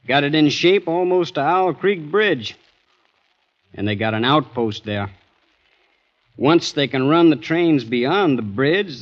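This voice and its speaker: A courteous Confederate soldier in a vintage radio play. He has a Southern drawl, a middling pitch and a humble, folksy way of talking. The recording is dull and dated. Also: fairly bright tone. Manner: deferential, folksy. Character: polite, weary Confederate corporal, plain-spoken country soldier. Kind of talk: 1940s-50s radio acting.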